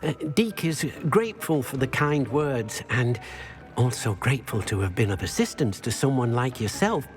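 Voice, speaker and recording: A man's voice: mid-range voice, big pitch swings, normal speed, soft background music, close to an everyday voice. A man voicing a humble, devoted house-elf in a video game, earnest and speaking of himself in the third person. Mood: grateful, tender. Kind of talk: video game character acting.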